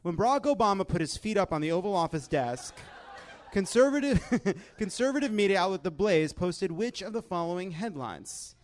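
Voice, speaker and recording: A man's voice: high voice, expressive, normal speed, a little hiss or hum. A man hosting a comedy game show in front of a live audience, sarcastic and often laughing as he talks. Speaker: host.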